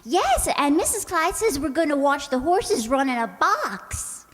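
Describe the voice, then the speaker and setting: A woman's voice: high voice, very animated, unhurried. A woman playing a scatterbrained, naive and cheerfully confident young working woman in a radio comedy, with an earnest, guileless manner. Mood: earnest, naively confident. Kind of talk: radio sitcom acting. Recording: echoey hall, long reverb.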